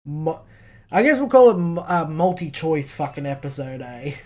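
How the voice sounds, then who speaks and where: A man's voice: on the high side, sing-song, moderate pace. An Australian man co-hosting a podcast with informal, off-the-cuff, sweary chat. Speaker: podcast co-host.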